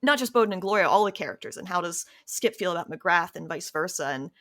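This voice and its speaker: A woman, an actress and writer, answering interview questions casually in a reflective, halting way. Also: lowish voice, lively intonation, fairly bright tone. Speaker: actress and writer on an audio drama.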